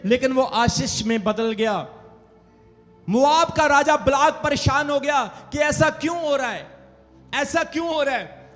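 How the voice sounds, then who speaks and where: A man's voice: very high voice, a bit bright. A man with a Punjabi accent preaching an emphatic, repetitive call-and-response sermon to a congregation. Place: large church hall, preaching from pulpit. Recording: echoey hall with long reverb.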